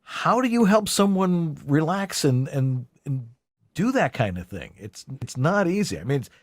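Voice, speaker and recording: Podcast co-host guy, lively up-and-down voice, dry close sound. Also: a little high, normal speed, no room sound. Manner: animated, asks the guest questions. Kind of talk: casual interview chat.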